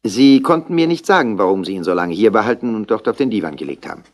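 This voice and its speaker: An actor playing a worldly, sardonic police official on the Riviera in a 1960s German radio crime drama, suave and wryly exasperated. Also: male voice, lowish voice, animated, fast talker, natural voice.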